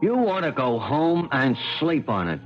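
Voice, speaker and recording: An American actor playing a plain-spoken, humoring barroom fellow with a hesitant, colloquial manner, in 1970s radio drama style. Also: male voice, medium pitch, big pitch swings, normal speed, close to an everyday voice. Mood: placating. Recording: dull old radio broadcast, loud music behind.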